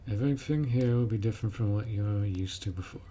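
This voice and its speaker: A man's voice: fairly low pitch, quick pace, dark tone, slight background noise, close to an everyday voice. A man voicing a blunt, protective orc warrior who speaks informally and firmly in a roleplay audio drama. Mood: stern, instructing. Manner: blunt, informal, firm, instructing.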